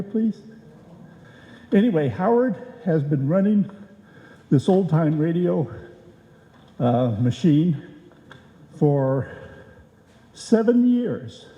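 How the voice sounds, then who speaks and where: An older man giving an informal, halting tribute speech to a live audience in a hall. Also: on the high side, creaky, warm tone, lo-fi sound. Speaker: club presenter at an award presentation. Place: live event in a hall, at a microphone. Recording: echoey hall with long reverb.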